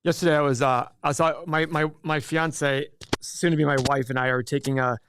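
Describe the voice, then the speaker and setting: A man's voice: fairly high pitch, steady tone, moderate pace, noisy background. A man born in Iraq co-hosting a stock market livestream, talking casually and rambling, repeating words and acting out his own thoughts. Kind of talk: casual investing talk on a livestream.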